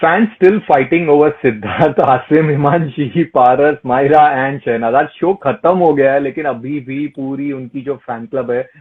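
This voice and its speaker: A man with an Indian accent casually putting questions as an entertainment radio interviewer. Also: on the high side. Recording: muffled, radio-like lo-fi sound.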